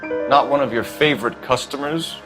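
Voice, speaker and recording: A man's voice: medium pitch, normal speed, over music, close to an everyday voice, poor audio. A man playing a stern, by-the-book 1940s police detective in a video game, questioning in a clipped, formal, authoritative way. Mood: brisk, questioning. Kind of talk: scripted video game dialogue, 1940s crime drama.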